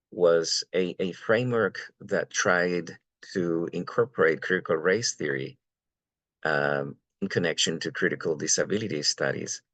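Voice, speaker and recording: Pria bersuara sangat rendah dari Venezuela. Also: male voice, a bass voice, a bit bright, dry recording. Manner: halting, many fillers and repeated words. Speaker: panelist. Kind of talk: academic panel talk.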